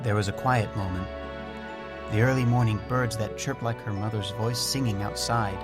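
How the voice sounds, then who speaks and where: A man voicing a gentle, naive, curious young pet creature, narrating an audio storybook in simple, thoughtful phrases with soft wonder. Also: lowish voice, fairly even intonation, normal speed, lightly acted. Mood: tender. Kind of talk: first-person storybook audio drama narration. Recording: music under the voice. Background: music.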